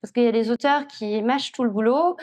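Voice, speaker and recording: A woman, an author speaking reflectively at a public literary talk, with frequent pauses. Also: a little high, a little mellow. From Switzerland. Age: 50s. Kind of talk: conversational answers at a public talk. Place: festival conference room.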